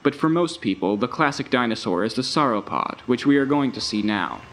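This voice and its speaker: A man's voice: medium pitch, very animated, a little fast, a bit bright, slight background noise. A man voicing a male park tour recording that welcomes visitors and explains the plants and animals. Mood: welcoming.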